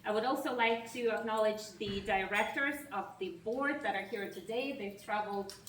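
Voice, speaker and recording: A woman with a Russian accent, an institute president giving a formal speech at a reception, measured and emphatic with pauses between phrases. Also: medium pitch, fairly bright tone, distant mic, slight background noise. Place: large reception hall with an audience. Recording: echoey hall, voice heard from afar.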